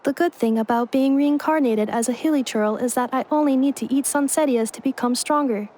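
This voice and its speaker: Woman playing a high-voiced, deadpan robot maid. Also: fairly quick, a little hiss or hum, close to an everyday voice. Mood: dutiful. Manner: deadpan, clipped announcements. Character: female humanoid robot maid, polite, literal, emotionless. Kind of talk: video game character acting. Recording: game music behind.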